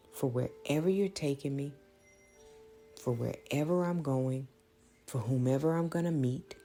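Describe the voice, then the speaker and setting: A woman, thinking out loud in a reflective, prayerful monologue, halting and earnest as she repeats phrases. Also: rumbling low. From small-town Louisiana, United States. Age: late 30s. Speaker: podcast host.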